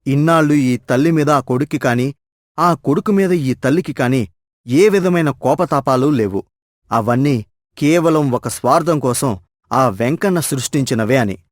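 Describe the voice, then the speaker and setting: A man's voice: mid-range voice, expressive, normal speed, no room sound, studio quality. A man narrating a scripted moral story as a storyteller.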